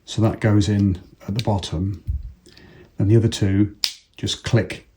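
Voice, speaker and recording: A man's voice: fairly low pitch, steady tone, unhurried, dark tone, slight background noise. A British man presenting a casual step-by-step installation demonstration, talking informally and unhurriedly as if thinking aloud. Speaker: presenter of a product installation tutorial.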